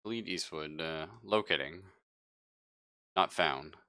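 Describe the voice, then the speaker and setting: A man's voice: very deep voice, slow, bright, forceful tone. A man running a tabletop roleplaying game as game master, talking casually and joking with a lot of laughter. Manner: jokey, laughs often.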